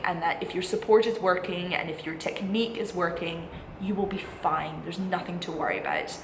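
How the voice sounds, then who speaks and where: A woman in her twenties, a student vlogger, giving chatty, encouraging, emphatic advice straight to viewers in a casual style. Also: on the low side. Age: 20s. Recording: echoey room with clear background noise.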